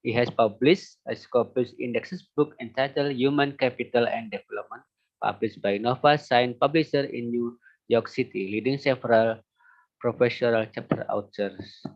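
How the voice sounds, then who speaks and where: A man hosts an academic webinar and reads out a formal biography. His delivery is halting, with stumbles on names. The sound is dull and lo-fi, as from an online call, and his pitch is average for a man. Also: fairly even intonation. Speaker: moderator introducing a speaker. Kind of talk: formal reading of a speaker biography. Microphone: video call.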